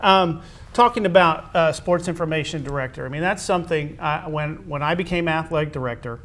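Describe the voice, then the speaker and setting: A man hosts a conversational interview as a school athletic director. His voice sits a little high for a man. He talks in a halting, wordy way, building up to each question. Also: a bit bright.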